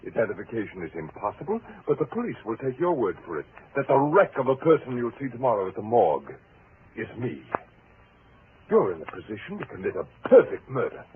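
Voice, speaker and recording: An actor playing a bitter, obsessed, menacing husband in a theatrical, mock-polite style for a 1940s radio thriller. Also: male voice, mid-range voice, sing-song, fairly quick, lightly acted. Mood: cool, calculating. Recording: tinny, hissy 1940s radio recording.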